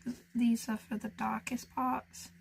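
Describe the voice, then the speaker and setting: A soft-spoken woman chatting casually as she colours, musing to herself and often dropping into a whisper. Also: fairly high pitch, flat delivery, a little slow, creaky, slight background noise. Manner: talks to herself as she works. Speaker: colouring channel host. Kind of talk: casual narration while colouring.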